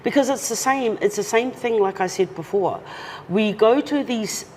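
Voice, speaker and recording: A woman's voice: medium pitch, moderate pace, darkish tone. A woman guest talking earnestly and carefully in an interview. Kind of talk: casual interview talk. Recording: long reverb, faint noise.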